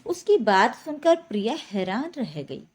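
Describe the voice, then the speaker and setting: A woman's voice: medium pitch, big pitch swings, measured pace, husky. A woman telling a scripted domestic story as a dramatic narrator.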